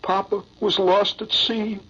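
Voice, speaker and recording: A man voicing a pitiful little orphan bootblack boy who pleads in a plaintive, drawn-out way. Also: sits high, flat delivery, a bit bright, slightly rough, voice pushed up, heavily acted. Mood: sad, beseeching. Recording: dull lo-fi old radio broadcast.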